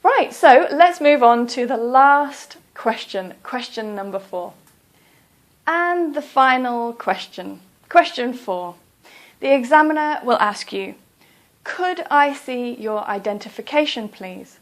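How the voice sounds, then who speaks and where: A woman teaching exam English, speaking clearly and deliberately in a careful, instructive lesson. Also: fairly high pitch, expressive. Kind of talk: slow, careful lesson narration.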